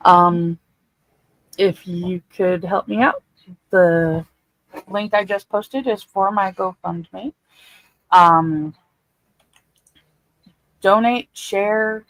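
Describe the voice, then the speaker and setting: Slow-talking woman with a creaky voice. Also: mid-range voice, no room sound, rough recording. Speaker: stream panelist. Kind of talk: casual, unhurried appeal to viewers.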